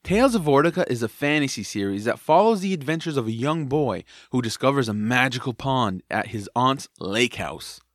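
남성 오디오북 내레이터. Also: male voice, mid-range voice, expressive, moderate pace, hardly any pauses, no echo, clean studio sound. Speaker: narrator and co-creator. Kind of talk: scripted audiobook introduction.

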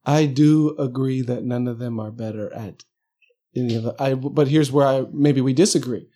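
A man's voice: medium pitch, normal speed, mellow. A man talking casually in a conversation, laid-back and joking, working through his ideas aloud with hesitations.

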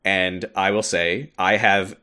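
A man with a fairly low voice talks casually as a podcast host. He sounds like an invested fan, leaning on his words for emphasis in an informal, conversational way. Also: fairly even intonation, slow, pushed and bright, clean tone. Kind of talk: casual podcast chat about video game lore.

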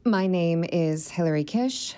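A new female English teacher in an audio play. She speaks in a low voice with a formal, polite, presentational manner. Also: expressive, unhurried, warm tone. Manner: formal, self-introducing presentation. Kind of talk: naturalistic audio drama acting.

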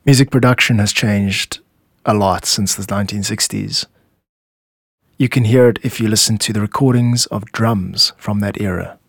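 A man hosting an explanatory music podcast, speaking in measured, instructive phrases with deliberate pauses into a close microphone. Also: lowish voice, fairly even intonation, gravelly. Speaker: podcast host and composer explaining own music.

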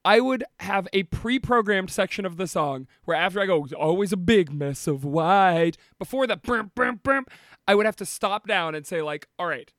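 A man co-hosting a casual podcast, swearing and joking, with his voice going hoarse. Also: high-pitched, lively intonation, moderate pace, a bit bright, no echo, clean studio sound. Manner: swears often, jokey, laughs a lot. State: losing his voice. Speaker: podcast co-host.

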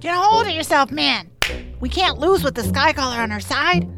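Woman voicing a high-pitched, plucky troll gal. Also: expressive, moderate pace. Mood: encouraging. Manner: upbeat, encouraging. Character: plucky, reassuring, chatty female troll. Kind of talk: audio drama character acting. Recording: music behind the voice.

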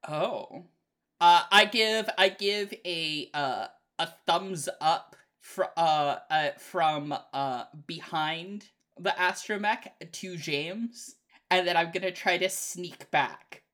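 A woman's voice: fairly low pitch, slow, fairly bright tone. A woman playing a tabletop roleplaying game, playful and giggly, talking with fillers. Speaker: player.

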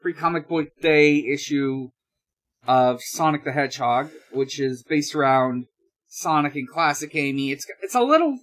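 A man hosting a podcast, chatting casually through news in a halting, hesitant way with drawn-out pauses. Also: fairly high pitch, sharp tone. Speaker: podcast host.

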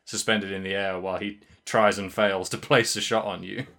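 A man co-hosting a casual podcast, with a lowish voice and a bright, forceful edge to his tone. He rambles and restarts his sentences as he talks through a video game. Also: fairly even intonation, moderate pace, gravelly. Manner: rambling, many restarts and fillers, laughs often. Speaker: podcast co-host.

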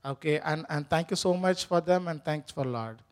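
A man with an Indian accent, a native Tamil speaker, giving an earnest, grateful church testimony with many pauses and fillers. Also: a little high. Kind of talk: personal testimony in an interview.

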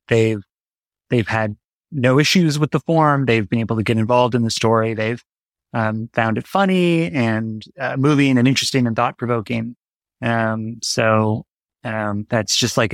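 A man's voice: medium pitch, gravelly, fairly bright tone, dry recording. A man speaking as an interview guest in a casual, reflective way, tentative and often correcting himself. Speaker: podcast guest, writer and creator.

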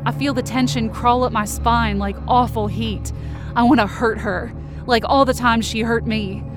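Casting: a woman as an angry, hurt young runaway narrating a fiction podcast, high voice, emotive, music underneath. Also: steady tone, moderate pace, close to an everyday voice. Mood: tense, hurt. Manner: intense, emotive. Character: wounded, angry, defiant young woman fleeing home. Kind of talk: first-person present-tense dramatic narration.

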